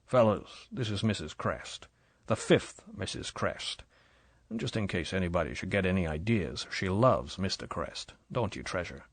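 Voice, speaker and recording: Man putting on a low-voiced American tycoon, mocking, overbearing, drawling, close dry sound. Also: lively intonation, moderate pace, breathy edge, no echo, exaggerated voice. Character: brash, bullying rich American yacht owner man.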